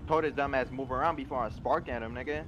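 A man's voice: medium pitch, steady tone, quick pace, dull audio. A man roleplaying in a game with confrontational, profane, slang-heavy street talk. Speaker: roleplay game player acting as gang member. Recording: very noisy in-game voice chat.

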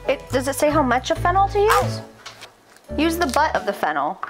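A woman with a fairly high, very lively voice banters in a home kitchen. She is playful and sarcastic, talking casually as she cooks, with music clearly playing behind her. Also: normal speed. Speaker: home cooking video host. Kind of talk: casual banter while cooking.

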